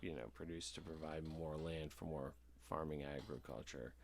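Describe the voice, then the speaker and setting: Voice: very deep voice, fairly even intonation, moderate pace, slight background noise. A podcast host chatting casually and giving opinions in an informal, emphatic way.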